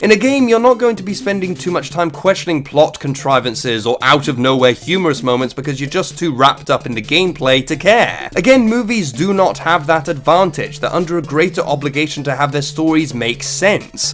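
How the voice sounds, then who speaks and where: A young man presents a scripted review. He is emphatic and opinionated, with a wry edge, and speaks over faint background music. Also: a little high. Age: young adult. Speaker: video essay host and reviewer. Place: small room indoors.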